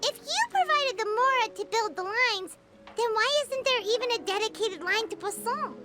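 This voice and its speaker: Very high, childlike fairy companion. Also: female voice, lively intonation, moderate pace. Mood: curious, puzzled. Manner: refers to self in third person. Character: tiny childlike floating fairy companion, chirpy and blunt. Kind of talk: video game voice acting. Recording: game music behind the voice.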